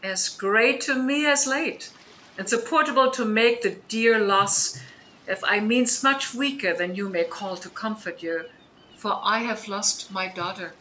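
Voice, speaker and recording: A woman playing a dignified exiled duchess in a Shakespearean radio play, stern yet forgiving, with deliberate, commanding formality. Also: medium pitch, sharp tone, a little hiss or hum, poor audio. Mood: composed, solemn. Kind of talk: Shakespearean audio drama acting.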